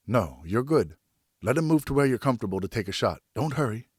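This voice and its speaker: A man voicing a seasoned hunter and father in an audiobook, steady, reassuring and encouraging as he instructs. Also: medium pitch, a little fast, slightly rough, no room sound, clean studio sound, voice pushed up. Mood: calm, steady. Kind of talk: audiobook character acting.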